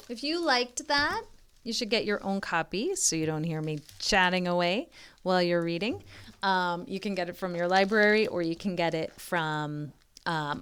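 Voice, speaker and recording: A woman reading a children's story aloud as a tutor, expressively and deliberately, with informal, encouraging asides to young listeners. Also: medium pitch, a bit bright, clear, resonant tone. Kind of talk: modeled children's read-aloud with chatty asides.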